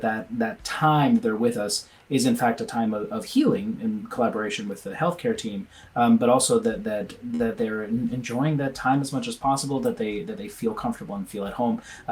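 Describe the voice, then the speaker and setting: Earnest man from Venezuela, mid-pitched. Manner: earnest, thinks aloud with frequent restarts. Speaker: pediatrician and guest. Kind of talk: conversational interview answers.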